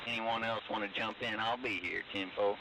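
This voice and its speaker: Guy on a hissy cb radio, flat low voice, friendly trucker-style lingo. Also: fairly quick. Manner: folksy CB lingo, friendly greetings. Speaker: CB radio operator running a livestream channel. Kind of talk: casual CB radio chat. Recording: hissy CB radio with clear static.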